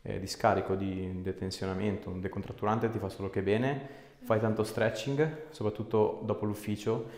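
A man's voice: lowish voice, steady tone, normal speed, few pauses, a little mellow. A man, a chiropractor giving casual, reassuring instructions to a patient in short phrases. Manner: informal, reassuring, short directions. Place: small indoor treatment room. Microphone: camera a short distance away. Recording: echoey room with background noise.

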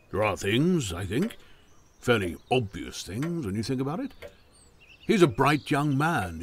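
Guy doing a wry ailing old gentleman in a radio play, really swoopy delivery, faint noise. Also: medium pitch, natural voice. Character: restless ailing older country gentleman, wry and kindly. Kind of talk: audio drama acting.

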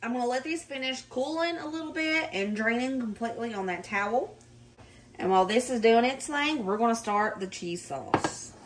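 A hoarse woman with a Southern US accent, chatting casually in her home kitchen as she walks through a recipe step by step. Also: a little high, moderate pace, noisy background. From Southern United States. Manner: chatty and relaxed, gives step-by-step directions. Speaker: home cooking vlogger.

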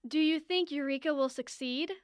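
An actress playing a proper, prim goddess narrating an audio drama in a measured way. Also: female voice, sits high, dry recording, studio quality, natural voice. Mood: mildly reproving. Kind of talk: scripted storytelling.

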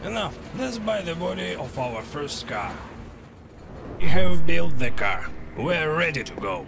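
An actor playing a gruff middle-aged male racer who mentors a younger driver. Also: on the high side, very animated, unhurried, exaggerated voice. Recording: game cutscene with loud music.

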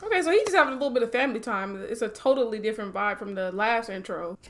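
A woman's voice: a little high, expressive, very fast, slight background noise. A woman in her twenties giving casual, emotional, slangy film reaction commentary, full of interjections, recorded in a small room. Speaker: movie reaction host. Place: small room at home, indoors.

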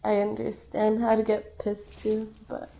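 A young woman chatting casually over voice chat while playing a game, relaxed, with lots of fillers. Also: mid-range voice, measured pace, gravelly, crowd noise, poor audio. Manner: laid-back, lots of fillers. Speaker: player.

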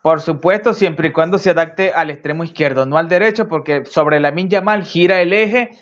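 A young Venezuelan man talks as a polite, chatty guest in a live video call. His voice is a bit higher than most men's, and the sound comes through slightly dull. He answers with easy courtesy. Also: normal speed. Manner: chatty, polite, says con todo gusto. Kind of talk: casual live stream chat.

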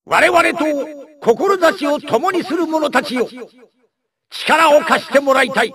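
An actor playing an elderly robot scientist, a grandiose scheming villain who declaims pompously in an anime game. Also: male voice, extremely high pitch, expressive, slow, a bit bright, exaggerated voice. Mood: grandiose, rallying. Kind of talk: theatrical anime game cutscene speech.

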